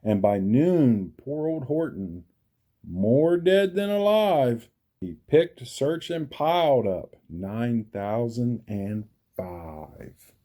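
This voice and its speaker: A man's voice: mid-range voice, lively intonation, very slow, warm tone. A man narrating a rhyming children's storybook expressively. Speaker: storybook reader.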